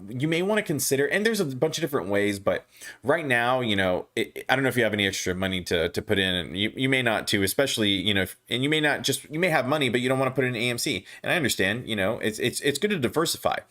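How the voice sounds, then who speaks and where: A young man hosting a casual stock livestream, reading viewer comments aloud and answering them. He talks haltingly with many restarts and fillers, and his voice is going hoarse. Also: mid-range voice, a bit bright. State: losing his voice, clearing throat.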